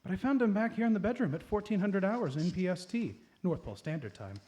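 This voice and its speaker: Guy doing sweet older santa's wife, high voice, fussy and sentimental, radio comedy. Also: animated, quick pace, dark tone. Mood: earnest, troubled. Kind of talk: live comedic radio play.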